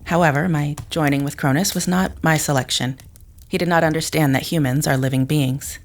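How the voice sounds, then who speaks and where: A woman plays a psychic mother with a low voice. She is rational and emotionally restrained, choosing formal, precise words in a measured manner, as in a science fiction audio drama. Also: fairly even intonation, a little hiss or hum. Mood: calm, guarded.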